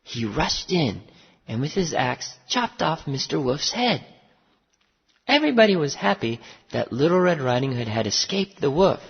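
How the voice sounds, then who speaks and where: An expressive storyteller reads a fairy tale with deliberate phrasing. The pitch swings widely and the voice is husky and creaky, in a muffled recording with long reverb. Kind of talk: scripted fairy-tale narration for English learners.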